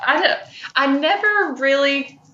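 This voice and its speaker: A young woman co-hosting a podcast in casual chat, with hesitant, halting phrasing. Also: high voice, sharp tone, highs cut off, a little hiss or hum. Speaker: podcast co-host.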